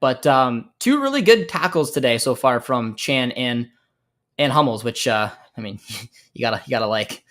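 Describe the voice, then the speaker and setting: A man's voice: medium pitch, expressive, normal speed, fairly bright tone. A young American man hosting a live football watchalong, chatting casually with his viewers, reacting to the play and thinking aloud with lots of fillers. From United States. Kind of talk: casual live match commentary and chat reading.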